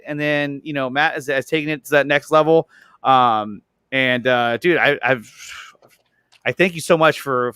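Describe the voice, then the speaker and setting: A man's voice: fairly high pitch, bright, forceful tone, clean tone, dry recording, clean studio sound. A man chatting casually and enthusiastically, gushing and often repeating words as he searches for the next one.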